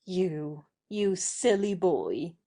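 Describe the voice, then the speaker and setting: A woman playing a composed, kindly and teasing young lady in an audio stage play, measured and gently reproving. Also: fairly low pitch, sing-song, heavily acted. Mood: wry, guarded.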